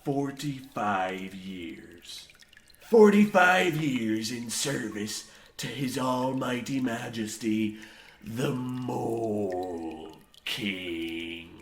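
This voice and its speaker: Creaky, zealous old mine boss. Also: male voice, medium pitch, lively intonation, drawn-out words, slight background noise. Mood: solemn, ominous. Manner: archaic, rustic, grandiose, preacher-like phrasing. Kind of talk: old-time horror radio play parody acting. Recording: echoey room sound.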